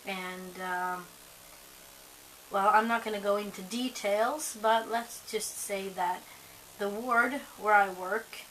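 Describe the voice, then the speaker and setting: A young woman with a hoarse voice from a cold, chatting casually to camera at home, rambling with lots of ums and likes. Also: medium pitch, steady tone, slow, a bit bright, a little hiss or hum, low-quality recording. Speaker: vlogger.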